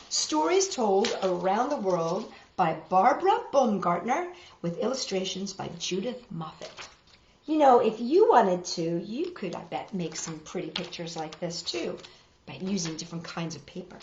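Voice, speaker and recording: A woman's voice: medium pitch, reverberant, highs cut off, a little hiss or hum. A woman hosting a children's storytime, reading picture books aloud and chatting playfully with young viewers.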